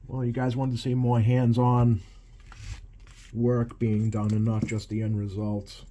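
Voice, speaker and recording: A man giving casual, halting hands-on repair commentary, thinking aloud as he works at a bench. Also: medium pitch, monotone, dark tone. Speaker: radio repair technician, video host. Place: small indoor workshop at an electronics bench.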